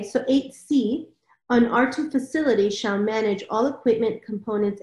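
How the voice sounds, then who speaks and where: A woman's voice: mid-range voice, darkish tone. A woman hosting a discussion, reading formal requirements aloud and moderating. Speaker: discussion host. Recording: roomy, dull lo-fi mic.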